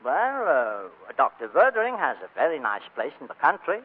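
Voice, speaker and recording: An actor playing a courteous, flustered doctor in 1940s radio comedy, formal and hesitant, on a muffled vintage recording. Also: male voice, on the high side, huge pitch swings, moderate pace. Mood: officious. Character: courteous, fussy, flustered male doctor ruling on sanity.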